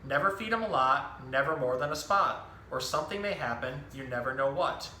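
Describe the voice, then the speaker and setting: A man reading the part of a cautioning male pet shop keeper in a children's storybook, measured and instructive. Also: mid-range voice, animated, pushed and bright. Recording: roomy echo with some background noise.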